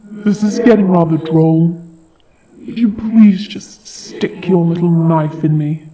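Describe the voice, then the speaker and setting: A man's voice: fairly high pitch, slow, a crowd in the background, poor audio. A man voicing a haughty, sardonic dragon in an audio roleplay, with a taunting, theatrical delivery. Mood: dryly impatient. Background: fantasy game-style music.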